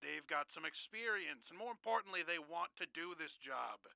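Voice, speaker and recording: A man's voice: a little high, animated, normal speed, gravelly, bright, forceful tone, dry recording, muffled recording, heavily acted. A man acting as a blunt, exasperated care-agency boss in a radio drama, insistent and condescending. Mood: blunt, dismissive. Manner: insistent, matter-of-fact, condescending.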